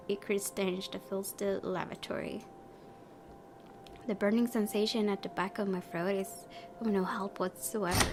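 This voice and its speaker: A woman's voice: mid-range voice, darkish tone. A young adult woman hosting a let's-play, reading visual novel narration expressively with informal, casual reactions. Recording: voice over prominent game music.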